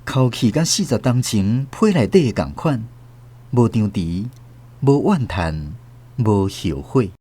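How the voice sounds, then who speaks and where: A man's voice: fairly low pitch, big pitch swings, slow, warm tone, husky, heavily acted. An actor with a Taiwanese accent playing a wistful older man who narrates his memories of a lost love in a radio drama.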